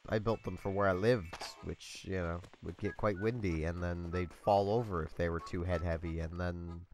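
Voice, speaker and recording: A man's voice: sits low, fairly even intonation, normal speed, a little mellow. A congested man hosting a let's play from home, rambling through casual commentary with lots of ums and small laughs.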